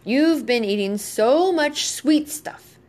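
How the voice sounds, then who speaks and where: A woman reads the part of an exasperated father in a children's storybook. He reasons earnestly and persistently, the voice lifted a bit high as he presses his point. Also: expressive, slow pace, a little mellow. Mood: lecturing. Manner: insistent, questioning, persistent.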